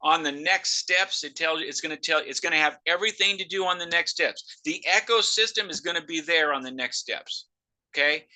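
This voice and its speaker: Man, emphatic salesman, very forceful and bright, noise-cancelling mic. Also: on the high side, a little fast, low-quality recording. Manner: loud, emphatic and excitable. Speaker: host of a sales call. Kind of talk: live sales pitch on a video call. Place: video call. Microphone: noise-cancelling microphone.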